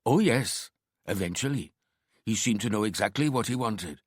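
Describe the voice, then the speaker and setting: A man's voice: on the low side, expressive, moderate pace, husky, no echo. An elderly Indian shopkeeper, acted out by a male audiobook narrator, courteous and proud of himself. He talks at length in an Indian accent, eager to help, in a dry recording. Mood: eager, helpful. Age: 60s. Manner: deferential, talkative, proud. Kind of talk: audiobook character acting.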